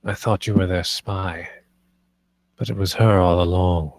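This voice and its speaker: An actor playing the grave, commanding master of a household, speaking with deliberate authority in a screenplay table read. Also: male voice, low-pitched, steady tone, no room sound. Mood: accusing, intense.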